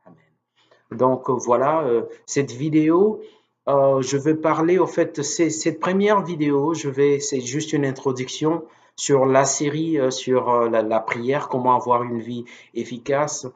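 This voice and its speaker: A man's voice: medium pitch, a little slow. A man who is a native Lingala speaker preaches informally on video, earnest and devout, hesitating and repeating words as he goes. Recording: dull, lo-fi sound.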